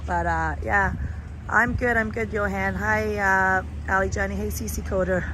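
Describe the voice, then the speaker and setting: A woman with a raspy, hoarse voice from a sore throat, chatting as she live-streams a walk outdoors at night, holding a phone. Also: mid-range voice, steady tone, normal speed, pushed and bright, low-quality recording. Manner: chatty, rambling, greets viewers. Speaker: travel vlogger. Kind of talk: live-stream walking vlog. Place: outdoors on a town street at night. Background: street and traffic noise.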